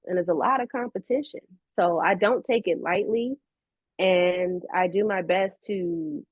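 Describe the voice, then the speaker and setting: A woman's voice: medium pitch, no echo. American woman, emphatic storyteller, slightly dull audio. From United States. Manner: emphatic, repeats phrases for effect, slangy. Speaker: voice actress, interview guest. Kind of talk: animated conversational interview storytelling.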